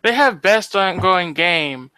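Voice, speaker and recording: A man with a somewhat high, bright voice co-hosts a casual podcast. His pitch swings in an animated way as he gives blunt, emphatic opinions, swearing heavily. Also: slow, smooth, dry recording, low-quality recording. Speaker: podcast co-host.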